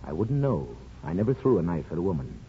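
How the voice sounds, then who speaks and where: An actor playing a gentle, folksy small-town barber and grandfather in a 1950s radio drama, measured and reflective. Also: sits low, big pitch swings, breathy edge, full character voice. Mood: guarded. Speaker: lead actor and narrator. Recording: muffled 1950s radio broadcast, music behind.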